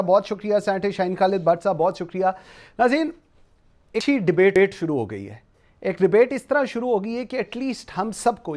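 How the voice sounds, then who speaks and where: A male talk show host with a Pakistani accent moderates political discussion. His voice sits high for a man, and he slips English phrases into his questions and commentary. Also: a little mellow. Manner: switches between Urdu and English phrases.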